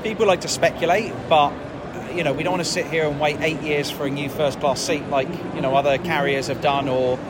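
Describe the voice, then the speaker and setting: A man's voice: fairly high pitch, fairly even intonation, fairly quick, very noisy. A man giving a confident, promotional business interview, repeating himself as he goes. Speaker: airline founder guest.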